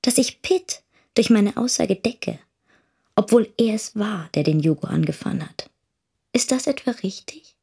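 An actress playing a defiant, wounded young daughter in a first-person radio drama monologue. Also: female voice, mid-range voice, lively intonation, unhurried, creaky, mellow, husky, full character voice. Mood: bitter, troubled. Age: young adult. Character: defiant, wounded teenage daughter of a know-it-all teacher.

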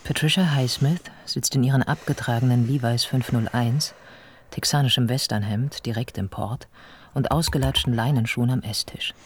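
A man giving a scripted radio play narration in a measured, deliberate, descriptive manner. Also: mid-range voice, slight background noise.

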